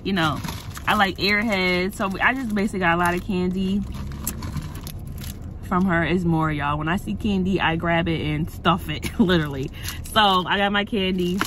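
A woman's voice: lowish voice, moderate pace. A young woman, chatting casually to camera in a vlog and calling her viewers y'all. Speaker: vlogger. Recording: noisy background.

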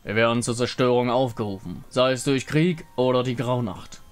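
A man's voice: medium pitch, normal speed, pitched below the performer's own voice, close to an everyday voice. A man voicing a stern, warlike god with a grave, menacing manner.

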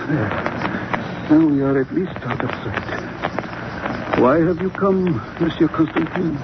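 Casting: timid, shifty shopkeeper, high-pitched and deferential, on a noisy old radio recording. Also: male voice, takes it slowly, highs cut off. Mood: nervous. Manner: anxious, formal, deferential. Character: nervous, pleading Egyptian shopkeeper, timid and shifty. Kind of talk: 1940s radio acting.

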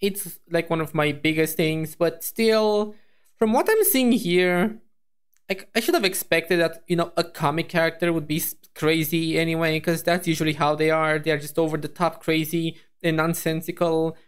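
A man streaming as a VTuber, reacting to a video in casual, rambling chat full of fillers and restarts, his voice hoarse. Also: fairly high pitch, moderate pace.

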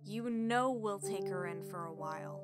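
A woman's voice: medium pitch, normal speed, music in the background, close to an everyday voice. A woman playing a caring, teasing young village archer and loyal friend in an audio drama. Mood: concerned, gentle.

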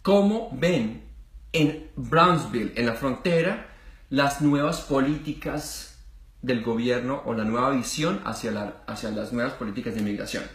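Animated man hosting in a roomy office. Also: medium pitch, unhurried. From Colombia. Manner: animated, gestures a lot, many fillers. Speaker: host. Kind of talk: casual interview. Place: small office with wall posters.

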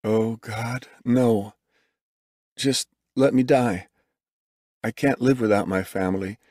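A man's voice: fairly low pitch, darkish tone, no echo, clean studio sound. Man acting a grief-stricken, murmuring injured father. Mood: despairing, weak. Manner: breathy murmuring, anguished, halting.

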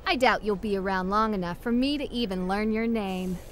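A woman's voice: medium pitch, moderate pace, fairly bright tone, a little hiss or hum, close to an everyday voice. An actress voicing a cocky, tough female wrestling trainee who taunts a newcomer in a video game. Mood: dismissive. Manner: dismissive, taunting. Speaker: rival wrestler.

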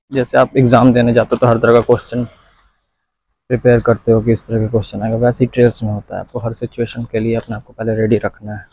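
Flat-voiced man giving walking tips. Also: mid-range voice, lo-fi sound. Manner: chatty, instructive, addresses viewers directly. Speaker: vlogger. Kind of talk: casual advice vlog. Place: outdoors, walking in the sun.

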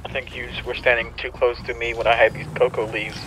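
A man banters quickly over game voice chat. His pitch is average, and vehicle noise is clearly heard behind him. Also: level tone, bright, forceful tone, poor audio. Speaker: fellow player in police roleplay.

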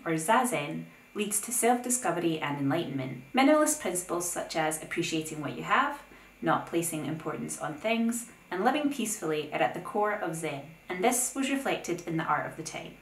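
A young woman hosting an art video, reading a scripted essay with opinionated, lively asides. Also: fairly low pitch, reverberant, a little hiss or hum, poor audio. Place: plain indoor room.

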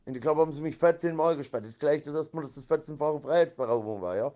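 A man's voice: fairly high pitch, fast talker, mellow, muffled recording. A man rambling in a voice message, slangy and sweary, repeating himself and slipping into rhymes.

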